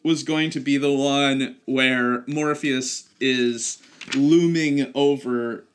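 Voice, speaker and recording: A man co-hosting a casual podcast, talking with enthusiasm and acting out lines in a lively way. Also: medium pitch, takes it slowly, a little hiss or hum. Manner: enthusiastic, acts out quoted lines. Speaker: podcast co-host.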